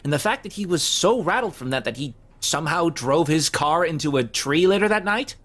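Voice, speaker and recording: A man's voice: fairly high pitch, lively intonation, fairly quick, a bit bright. An actor playing an anxious, down-on-his-luck young man who stammers and hesitates in an audio drama. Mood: insistent.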